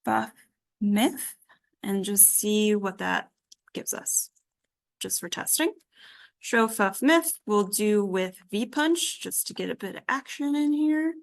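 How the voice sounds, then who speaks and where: A woman teaching a software tutorial informally, thinking aloud as she works through each step. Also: mid-range voice, vocal fry. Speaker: workshop instructor.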